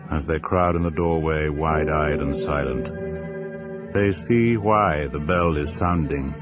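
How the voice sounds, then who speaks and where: Man as a very deep, slow horror narrator. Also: dull audio, over loud music. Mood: ominous. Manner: solemn, suspenseful, deliberate pauses. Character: grave, sinister narrator of a gothic horror tale. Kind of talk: scripted gothic horror narration.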